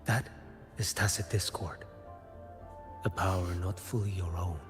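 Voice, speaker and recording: An actor playing a blind, watchful bodyguard in a video game, speaking in a measured, cryptic and formal way. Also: male voice, sits low, fairly even intonation. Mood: solemn, probing. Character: blind, solemn watchful male bodyguard and investigator. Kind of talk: scripted video game dialogue. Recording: long reverb, music underneath. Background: game music.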